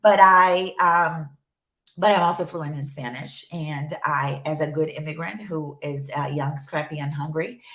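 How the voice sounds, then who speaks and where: A woman from Brazil whose first language is Portuguese, chatting as an interview guest in an animated way, with lots of fillers and restarts. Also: sits low, unhurried. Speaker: guest, journalism professor. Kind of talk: casual interview chat. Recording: echoey room.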